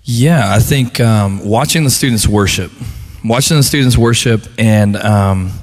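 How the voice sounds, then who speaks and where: A man who serves as a student pastor shares his thoughts earnestly, often pausing with fillers. His voice is on the low side and stays sincere. The church hall adds a long, trailing reverb. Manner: earnest, hesitant with many ums. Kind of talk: casual church testimony. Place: church sanctuary.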